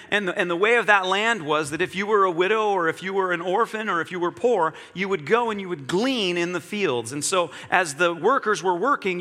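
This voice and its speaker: A man's voice: high voice, sharp tone, big-room sound. A man preaching a sermon in an earnest, reflective way, repeating key phrases.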